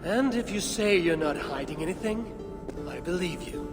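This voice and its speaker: An actress playing a proud, suspicious Viking warrior woman in a video game, husky and terse, with a Scandinavian accent. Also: fairly low pitch, expressive, normal speed, loud music behind the voice, lightly acted. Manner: terse, blunt. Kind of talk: video game cutscene acting.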